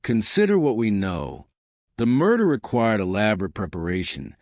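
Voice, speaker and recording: A man voicing an elderly woman detective in an audiobook mystery, quiet, measured and shrewd. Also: on the low side, big pitch swings, dry recording, clean studio sound. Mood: thoughtful.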